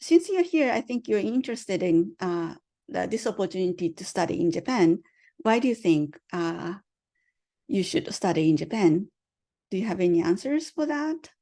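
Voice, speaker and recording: A woman's voice: medium pitch, animated, moderate pace, mellow. A woman presenting to an online audience on a video call, hesitant with many fillers, often asking the audience questions.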